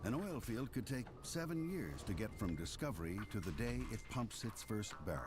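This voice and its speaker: A man reading scripted documentary narration in a measured, dramatic way. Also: lowish voice, big pitch swings. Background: background music.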